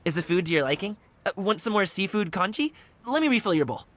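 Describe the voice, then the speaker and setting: A man's voice: high-pitched, big pitch swings, quick pace, fairly bright tone. An actor playing an easygoing young man, a wushu dancer working as a guard, friendly and candid in a video game. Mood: cheerful. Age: young adult. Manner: friendly, laid-back and candid. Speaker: game character.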